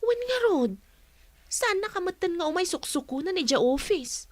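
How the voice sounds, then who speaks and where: A woman playing a chatty, teasing friend in an Ilocano radio drama, expressive and with a Filipino accent. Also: very high voice, moderate pace, no echo. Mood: concerned.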